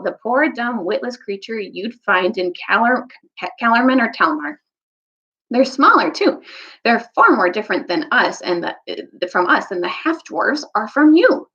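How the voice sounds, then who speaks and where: Storybook badger, male, loyal and firm in belief, played by a woman. Animated intonation, creaky edge, slightly muffled recording. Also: fairly high pitch.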